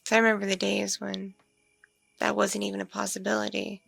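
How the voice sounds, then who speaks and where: A woman playing a lonely, coy vampire mother in an ASMR roleplay, musing slowly in a husky, breathy, creaky voice with soft hums. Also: medium pitch, monotone, no echo, full character voice. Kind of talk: ASMR audio roleplay, intimate one-sided conversation.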